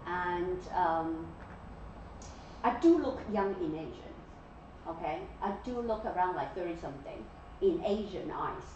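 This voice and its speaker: A woman's voice: lowish voice, lively intonation, unhurried, a little mellow, a little hiss or hum. A woman in her forties giving an interactive classroom lecture and often checking in with "okay?" and "yeah?". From Malaysia. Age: 40s. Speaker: guest lecturer. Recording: echoey classroom from far off, dull.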